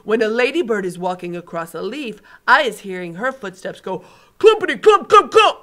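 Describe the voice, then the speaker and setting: A big, kindly male giant from a storybook, put on by a woman reading aloud. He talks loud and earnest in a mid-pitched voice, bending his grammar as he goes. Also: big pitch swings, normal speed.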